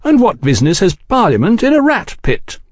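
An urbane gentleman journalist, acted out by a male reader, pitched well up and swooping with politeness. He is mild and smoothly cordial in an audiobook story, close and dry. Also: sing-song, normal speed, no room sound, heavily acted. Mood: mild, probing.

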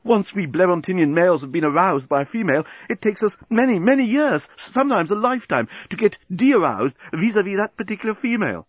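A man's voice: high-pitched, big pitch swings, brisk, hardly any pauses, breathy edge, no room sound, highs cut off, voice pushed up, heavily acted. A man voicing a lovestruck male alien journalist who moans, gushes and pleads in a storybook reading. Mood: moaning, amorous. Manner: moaning, gushing, pleading.

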